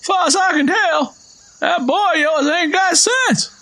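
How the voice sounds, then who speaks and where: A man's voice: very high voice, unhurried, bright, forceful tone, pitched above the performer's own voice, full character voice. A man voicing a stern, stubborn old Southern father in an audio story, speaking bluntly and insistently. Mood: accusing, stern. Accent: American South. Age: older.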